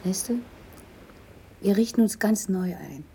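An actress playing a middle-aged East Berlin wife in a grotesque radio play, by turns mocking and gushingly grateful. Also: female voice, mid-range voice, animated, unhurried, vocal fry, warm tone, a little hiss or hum. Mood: tender, grateful.

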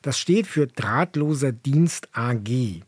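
Guy explaining history on a podcast, bit raspy, dry close sound, measured. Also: mid-range voice, lively intonation, dry recording. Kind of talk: explanatory radio history narration.

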